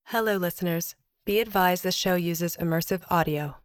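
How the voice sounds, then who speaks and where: A woman delivers a scripted advisory as an announcer. Her voice sits a bit low and is formal and measured, recorded dry. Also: no echo.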